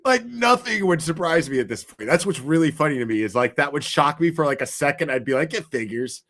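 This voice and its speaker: A man's voice: fairly high pitch, animated, a little fast, a bit bright. A man hosting a live show, commenting casually on a court hearing, laughing often, swearing freely and mimicking people.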